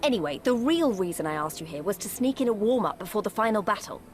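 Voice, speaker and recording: A woman's voice: medium pitch, lively intonation, fast talker, soft background music, natural voice. A woman voicing an earnest, spirited young resistance fighter in a video game cutscene. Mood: sheepish, friendly.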